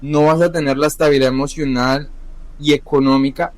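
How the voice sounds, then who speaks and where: A young adult man, a chef, talking candidly about his life in a halting, self-correcting way. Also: fairly high pitch, fairly even intonation, no echo, poor audio. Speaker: chef, podcast guest. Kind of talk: candid personal conversation.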